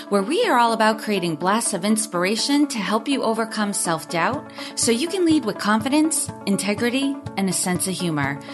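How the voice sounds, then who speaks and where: A woman hosting an interview podcast, introducing and questioning in a conversational presenting style. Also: mid-range voice. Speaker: podcast host.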